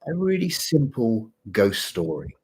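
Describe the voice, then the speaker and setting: A man with a slightly sore throat and husky voice chats casually to livestream viewers as host, halting and repeating his phrases. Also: medium pitch, huge pitch swings, darkish tone. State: mild sore throat, voice deeper than usual. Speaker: channel host and story writer.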